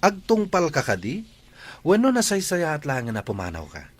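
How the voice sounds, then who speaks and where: A man's voice: fairly high pitch, huge pitch swings, moderate pace. A man acting a bossy, arrogant young rancher in a radio-style drama, with an Ilocano accent. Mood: bossy, scornful.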